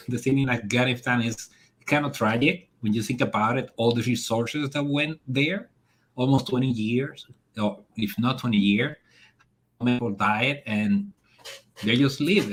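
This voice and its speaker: A man's voice: mid-range voice, a bit bright. Dominican-accented man, casual talk-show guest. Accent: Dominican Spanish. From Dominican Republic. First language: Spanish. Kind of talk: casual political discussion.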